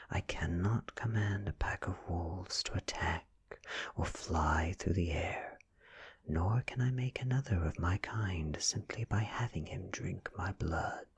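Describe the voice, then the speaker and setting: A British man reading a story aloud in a soft, breathy ASMR whisper, with hushed, deliberate phrasing and pauses. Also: low-pitched. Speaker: audiobook-style ASMR reader.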